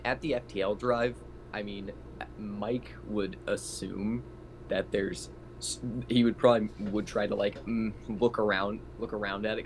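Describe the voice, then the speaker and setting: A man playing a tabletop game, chatting casually and tentatively thinking through his moves out loud. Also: fairly low pitch, a little hiss or hum, poor audio.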